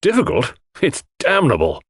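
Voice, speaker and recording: A man voicing an exasperated, outspoken English country gentleman with slangy upper-class talk in an audiobook. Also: on the high side, wildly swooping pitch, normal speed, slightly rough, no room sound, studio quality, voice pushed up, full character voice. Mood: indignant. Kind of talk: audiobook character dialogue.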